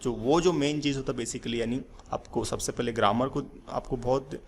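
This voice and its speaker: Gravelly man teaching a lesson. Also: medium pitch, moderate pace, a little hiss or hum. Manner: rambling with many fillers and restarts. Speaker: English teacher. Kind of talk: slide-based lesson.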